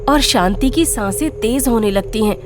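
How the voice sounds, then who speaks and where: Female storyteller, high voice, scripted narration over a faint music bed. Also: moderate pace.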